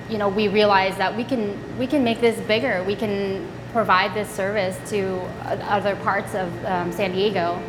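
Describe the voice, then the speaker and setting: A woman's voice: mid-range voice, in a large hall, rough recording. A middle-aged woman from Vietnam, co-founder of an ice cream shop, talking casually in an interview with frequent ums and restarts. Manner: relaxed, with many ums and restarts.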